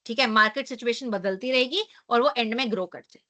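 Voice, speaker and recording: A young woman teaching an online class, hoarse from losing her voice, who explains with rhetorical questions and a frequent tag question. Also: on the high side, fast, sharp tone.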